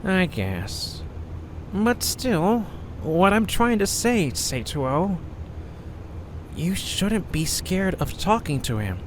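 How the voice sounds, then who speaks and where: An actor playing a kind, wise young male creature friend with a gentle, reassuring manner. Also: high voice, big pitch swings, slow, slight background noise, full character voice, rough recording.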